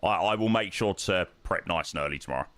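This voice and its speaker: A man with a hoarse, croaky voice, chatting casually to his stream audience and rambling with lots of ums. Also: low-pitched, quick pace, fairly bright tone, no room sound. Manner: rambling, self-interrupting, frequent ums. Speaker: flight sim streamer.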